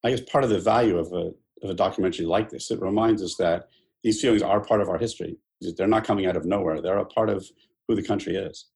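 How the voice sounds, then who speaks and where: A man conducting an interview, asking calm, prompting questions. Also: sits low, fast talker, vocal fry.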